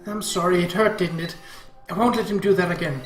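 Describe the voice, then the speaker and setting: A man voicing a gentle, anxious, devoted mother in an audiobook, tender and hesitant. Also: sits high, fairly even intonation, brisk, echoey room, soft background music, natural voice.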